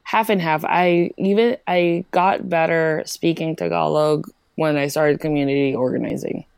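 A woman's voice: low-pitched, fairly even intonation, normal speed, vocal fry, darkish tone, clear, resonant tone, no room sound. A woman from the Philippines, a guest in a casual interview, who laughs often and says "like" a lot. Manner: laughs often, leans heavily on "like".

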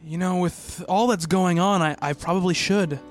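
Guy acting as thoughtful worried researcher in a radio mystery, kinda high voice, music behind him. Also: normal speed, lightly acted. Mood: hesitant, concerned. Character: worried, rational male researcher narrating his own eerie mystery. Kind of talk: radio play dialogue and first-person narration.

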